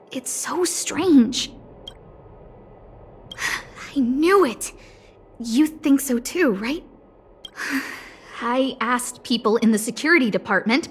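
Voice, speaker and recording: High girlish young researcher. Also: husky. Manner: expressive, dramatic, slightly haughty. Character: worried, bossy, cutesy young female lead researcher. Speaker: voice actor in a video game. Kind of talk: animated game character dialogue. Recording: studio voice over soft game music.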